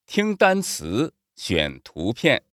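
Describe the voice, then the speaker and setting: Slow, melodic man announcing instructions. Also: mid-range voice, huge pitch swings, fairly bright tone, no echo, clean studio sound. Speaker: exam announcer. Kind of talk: scripted test instructions.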